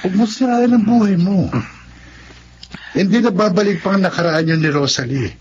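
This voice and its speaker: An actor playing a concerned, sensible friend with a Filipino accent, earnest and persuasive in radio drama style. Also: male voice, high-pitched, moderate pace, darkish tone, a little hiss or hum, close to an everyday voice. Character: concerned, sensible male friend counseling a drunkard. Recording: muffled, music behind the voice.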